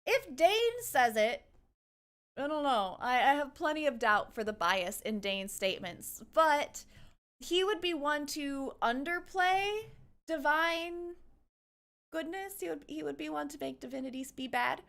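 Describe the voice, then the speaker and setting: A woman's voice: high-pitched, lively intonation, a little slow, fairly bright tone. A woman doing casual livestream commentary, rambling and thinking aloud as she reacts to a game. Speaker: streamer reacting to a game trailer.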